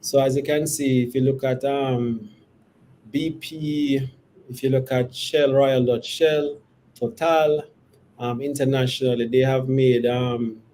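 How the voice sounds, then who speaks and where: Mellow-voiced man, measured and careful. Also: mid-range voice, rough recording. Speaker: company executive. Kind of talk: answering investor questions on an earnings call. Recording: steady background noise.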